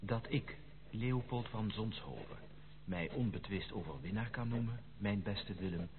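An actor playing a lovestruck gentleman who narrates his letter to a friend in a formal, measured, literary way in a radio play. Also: fairly low pitch, animated. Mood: composed, confiding. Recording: noisy, tinny, band-limited old radio recording.

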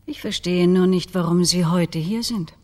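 A woman's voice: fairly low pitch, moderate pace, darkish tone, natural voice. An actress playing a haughty, formal lady of a grand house in a radio crime drama, pointed and commanding. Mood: cool, pointed.

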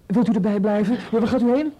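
A scared young student being questioned by police in a radio play. He speaks quickly in a soft-edged, husky voice. He stammers, hesitates and pleads. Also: high voice, rough recording. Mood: anxious, desperate. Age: young adult. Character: frightened studious boy under police questioning, afraid of his father. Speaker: police suspect. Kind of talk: radio drama acting.

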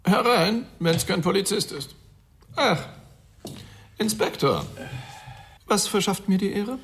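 A man's voice: high voice, expressive, slow pace, fairly bright tone, a little hiss or hum, exaggerated voice. A man playing a sardonic, unfazed forensic pathologist in a German radio crime drama, wry, theatrical and matter-of-fact.

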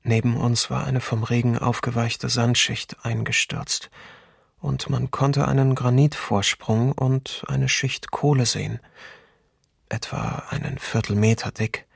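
An actor voicing a sombre man recalling his childhood, reading a literary memoir with measured restraint in a documentary radio play. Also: mid-range voice, fairly even intonation, vocal fry, husky, dry recording, clean studio sound. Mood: sombre, reflective.

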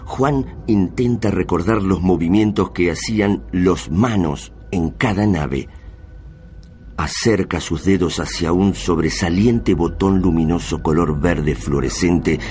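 A man narrating a radio drama in a solemn, measured, literary manner. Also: deep voice, a little mellow, slight background noise. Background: music.

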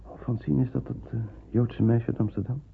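A man's voice: mid-range voice, steady tone, a little fast, creaky. An actor playing a reassuring, matter-of-fact policeman who secretly helps people hide in a wartime radio drama. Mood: businesslike. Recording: noisy, phone-like old radio drama recording.

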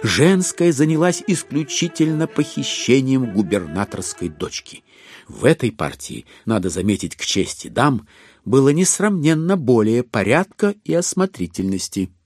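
A man narrating a literary audio drama in an expressive, theatrical storytelling manner with wry irony. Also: mid-range voice, moderate pace, slightly rough, dry recording, soft background music.

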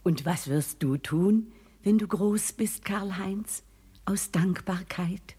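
A devout, kindly old woman in a radio drama. Her voice is very husky and muted in brightness, teaching solemnly in a reverberant space. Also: medium pitch. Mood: gentle, solemn. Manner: slow, solemn, instructive. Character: kindly, pious old aunt running a chocolate shop. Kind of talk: German radio play acting. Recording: reverberant hall-like sound.

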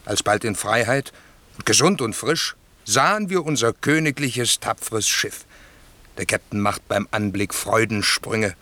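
A man's voice: lowish voice, animated, unhurried, sharp tone, dry recording. A man playing an amazed seaman who reports to his lord in vivid, respectful fashion in a classic radio play.

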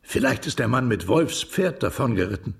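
Animated, creaky-voiced old soldier storyteller. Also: male voice, mid-range voice, normal speed, full character voice. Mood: musing, animated. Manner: animated, reminiscing. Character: Russian émigré former cavalryman, animated drinking storyteller. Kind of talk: German radio drama acting.

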